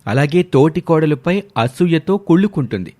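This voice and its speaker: A man's voice: fairly high pitch, expressive, normal speed, darkish tone. A man reading scripted narration as the narrator of a story.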